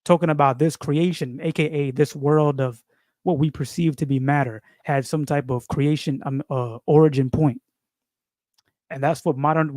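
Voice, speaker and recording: Give me a man, rambling casually about spirituality, full of fillers, fairly high-pitched, recorded dry and close. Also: a little mellow, dry recording. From New York. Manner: rambling, repeats phrases, frequent fillers and slang. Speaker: video creator.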